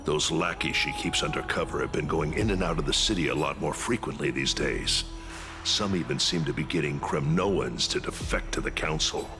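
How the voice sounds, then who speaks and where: Game role: gruff veteran warrior, bottom-register voice, wary, commanding and sardonic. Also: male voice, rumbling low, moderate pace, music in the background. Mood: stern. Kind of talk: video game voice acting.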